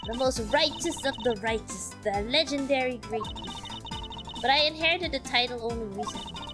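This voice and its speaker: A bouncy young woman thief from a video game, acted out by a woman, high-pitched and full of cheek. She boasts brightly about herself as a legend, over clear game music. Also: normal speed, lightly acted. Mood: boastful, indignant.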